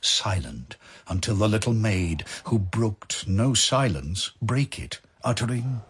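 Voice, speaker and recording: A man narrating dramatic verse from a script in a solemn, measured manner. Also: lowish voice, lively intonation, a bit bright, breathy edge.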